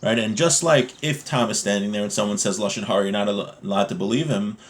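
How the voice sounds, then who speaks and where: A man's voice: fairly low pitch, quick pace. A man giving an informal religious lesson, explaining a text in a conversational way with rhetorical check-ins as a teacher.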